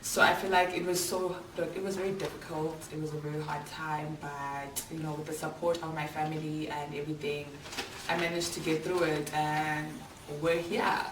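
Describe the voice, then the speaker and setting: Low-voiced chatty South African woman. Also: little pitch movement, normal speed. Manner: chatty and candid, with slang and fillers. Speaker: vlogger. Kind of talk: casual Q&A chat to camera. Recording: echoey room, voice a little far from the mic, clear background noise.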